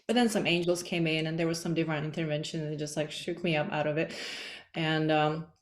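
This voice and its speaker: A woman's voice: deep voice, fairly even intonation, fairly quick, echoey room. A woman from the Czech Republic, a guest on a podcast, talking in a loose, rambling way full of "like" fillers. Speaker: podcast guest, energy healer. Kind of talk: casual interview chat.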